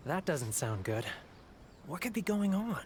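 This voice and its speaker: An actor playing a loyal, watchful young master's retainer in a video game. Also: male voice, sits high, big pitch swings, unhurried, a little mellow, a little hiss or hum. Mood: worried, resolute.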